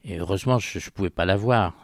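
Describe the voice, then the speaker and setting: Older male radio guest, faint Parisian accent, low-pitched, soft and measured. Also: no room sound, clean studio sound. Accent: slight Parisian French. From Paris, France. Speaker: radio guest, heritage association president. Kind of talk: casual radio interview. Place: radio studio.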